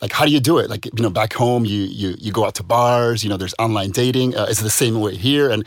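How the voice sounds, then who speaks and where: Fast-talking easygoing man. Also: lowish voice, no room sound, studio quality. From New York, USA. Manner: fast and easygoing, frequent fillers. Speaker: podcast guest. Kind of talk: casual chat. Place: studio.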